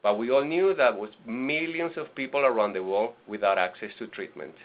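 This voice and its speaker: A man from Venezuela, a nonprofit director, presenting a webinar with halting restarts and fillers. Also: medium pitch. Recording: lo-fi webinar audio.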